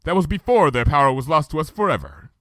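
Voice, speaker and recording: A man's voice: a little high, lively intonation, fast talker, bright, forceful tone, no room sound, voice pushed up. A man voicing a regal, archaic-speaking female moon princess pony in a fan fiction reading, with formal thee-and-thou speech. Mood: solemn.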